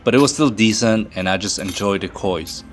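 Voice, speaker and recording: A man's voice: lowish voice, normal speed. A man from Sweden narrating a travel vlog in a playful, joking manner. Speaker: travel vlogger. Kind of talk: voice-over narration. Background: faint music.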